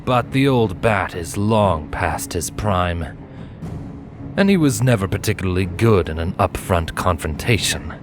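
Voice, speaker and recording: A man's voice: low-pitched, animated, measured pace, over music. A man voicing a smug, menacing male pony assassin who taunts his prey in a fantasy story.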